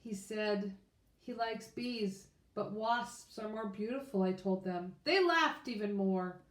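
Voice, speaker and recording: A woman reading a children's storybook aloud in an expressive, informal way. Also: mid-range voice, slow. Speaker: children's book reader. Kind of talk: read-aloud storybook narration. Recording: echoey room.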